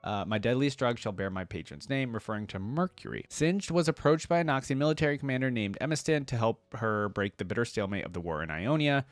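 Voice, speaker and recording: A man's voice: medium pitch, no room sound. A man hosting a reaction video, giving casual, informal commentary as he thinks aloud.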